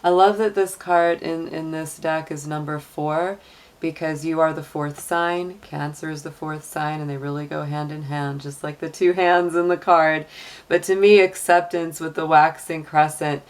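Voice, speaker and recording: A woman with a deep, slightly hoarse voice, talking casually as the host of a tarot and astrology reading. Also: fairly even intonation, moderate pace. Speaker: tarot reader and channel host.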